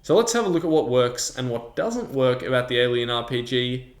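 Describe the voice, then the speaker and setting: A man's voice: medium pitch, normal speed. A man presenting a scripted review as the host of a video. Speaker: tabletop game reviewer and host. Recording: roomy, echoing sound.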